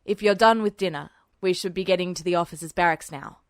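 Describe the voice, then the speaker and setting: A woman's voice: on the low side, fast, clean tone, no room sound, clean studio sound, pitched below the performer's own voice, close to an everyday voice. A woman voicing an officious, easily flustered male lance corporal in a story reading. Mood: brisk, officious. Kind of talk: character dialogue in fan fiction reading.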